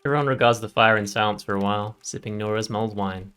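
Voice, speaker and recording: A man with a low voice hosts a game playthrough over faint music. He reads narration aloud and drops in casual comments. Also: fairly even intonation, fast, fairly bright tone, clean tone. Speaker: video game playthrough host. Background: faint background music.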